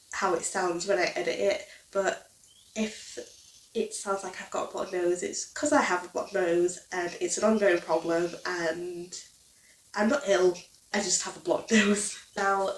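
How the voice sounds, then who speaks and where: A woman's voice: medium pitch, far from the mic. A young woman in her twenties with a nasal, blocked-nose voice, chatting casually to camera with lots of ums. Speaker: crochet vlogger. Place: living room, indoors. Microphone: camera mic from a few feet away. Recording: roomy, from off mic.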